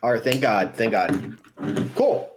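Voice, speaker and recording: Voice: mid-range voice, very animated, measured pace. Rambling host over a noisy call. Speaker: host of a fan comic-dub livestream. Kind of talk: casual group chat. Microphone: online voice call.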